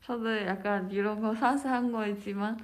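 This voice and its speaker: Relaxed woman chatting casually. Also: mid-range voice, moderate pace, a little hiss or hum. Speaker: co-host. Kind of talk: casual chat.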